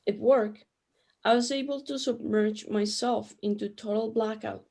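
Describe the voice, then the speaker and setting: A woman's voice: a little high, steady tone, measured pace, gravelly, a little mellow. A woman playing a knowing, dryly teasing resident of a spirit realm in a sci-fi radio drama, matter-of-fact in manner.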